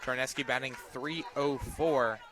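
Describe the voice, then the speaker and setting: A man doing live radio play-by-play of a softball game as the announcer. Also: medium pitch, steady tone, unhurried. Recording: noisy outdoor broadcast with crowd behind. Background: crowd and outdoor ambience.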